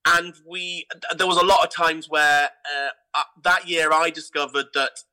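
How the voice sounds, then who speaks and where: A man talking as an interview guest, telling anecdotes in an animated, theatrical way. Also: on the high side, moderate pace, low-quality recording. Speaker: guest, theatre producer and pantomime dame.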